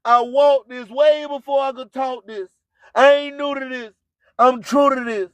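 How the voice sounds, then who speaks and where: A man's voice: very high voice, steady tone, unhurried, smooth, dry recording, lo-fi sound. A man hosting an internet radio show, preaching and hyping in repetitive, rhyming catchphrases with a declamatory delivery. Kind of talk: live show hype and preaching monologue.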